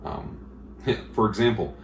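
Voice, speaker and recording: A man who teaches blacksmithing, talking in a casual interview with a booming, emphatic way of explaining things at length. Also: expressive, slow. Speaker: blacksmith instructor. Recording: echoey room with faint noise.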